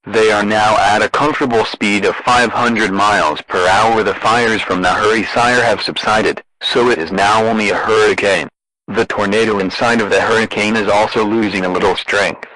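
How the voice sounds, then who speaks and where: A man reading a scripted emergency broadcast as an announcer, in a formal, deadpan manner. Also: on the low side, moderate pace, slight background noise, rough recording.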